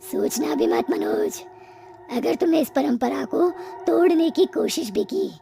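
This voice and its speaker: A woman voicing the menacing ghost of a dead king, speaking in slow, threatening pronouncements. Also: high-pitched, wildly swooping pitch, vocal fry, dark tone, slight background noise, heavily acted. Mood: ominous warning.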